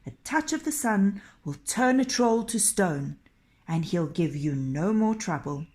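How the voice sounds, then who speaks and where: A woman reading a children's tale as a storyteller, in an expressive, dramatic and deliberate manner. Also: medium pitch.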